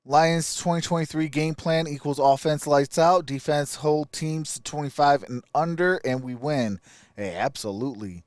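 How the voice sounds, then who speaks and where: A man's voice: a little high, normal speed, darkish tone, no echo, clean studio sound. A man hosting a live sports stream, giving casual, opinionated commentary and answering viewer comments.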